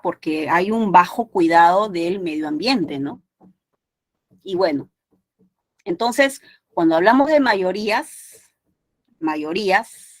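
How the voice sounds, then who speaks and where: A woman's voice: medium pitch, no echo. A woman from Peru with a Peruvian Spanish accent, giving an exam prep language lecture in a conversational way, with many tag questions and restarts. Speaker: language teacher.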